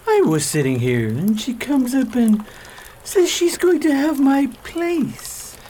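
A woman's voice: sits high, sing-song, takes it slowly, dark tone, slight background noise. A woman playing a pushy, rude café patron in a radio drama.

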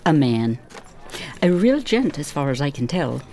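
A woman's voice: low voice, big pitch swings, unhurried, warm tone, exaggerated voice. A woman playing a gossipy, judgmental working-class villager in a radio play, talking colloquially. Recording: clear background noise.

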